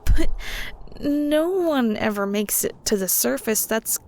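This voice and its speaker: A woman playing a shy, curious young ghost in an audio roleplay, hesitant, polite and chatty, with soft breathy edges. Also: fairly high pitch, animated, takes it slowly, slight background noise. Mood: apologetic, curious. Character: shy, curious young female ghost, newly arrived in the underworld. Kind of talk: audio roleplay, intimate conversational acting.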